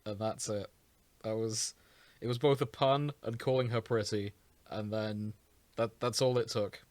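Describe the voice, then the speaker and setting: A man's voice: lowish voice, fairly even intonation, measured pace, darkish tone, no echo. A man co-hosting a podcast, chatting casually about fan fiction with dry, sweary joking. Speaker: podcast co-host.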